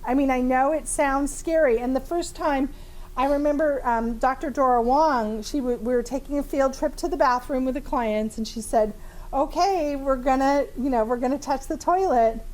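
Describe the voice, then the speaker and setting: Bubbly high-voiced woman leading a training. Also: normal speed, low-quality recording. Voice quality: big voice. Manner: lively, chatty, laughs often, many fillers. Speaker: therapist and trainer. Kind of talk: live training demonstration. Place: training room with a small audience.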